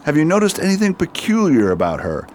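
A man's voice: fairly high pitch, huge pitch swings, brisk, a little mellow, slight background noise. A man playing a hearty, inquisitive friend in a radio mystery, curious and musing in manner. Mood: curious probing. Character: hearty, inquisitive old friend, probing gently. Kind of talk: radio drama acting.

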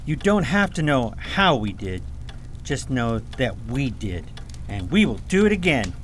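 A man's voice: medium pitch, big pitch swings, measured pace, a little hiss or hum. A man playing a stubborn, serious boy prince in a fantasy radio drama, speaking tersely and earnestly. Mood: defiant.

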